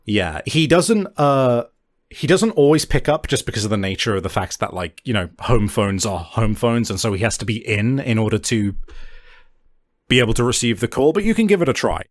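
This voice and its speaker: A man running a tabletop game as game master, narrating casually in an informal, halting way with the odd swear word. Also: medium pitch, animated.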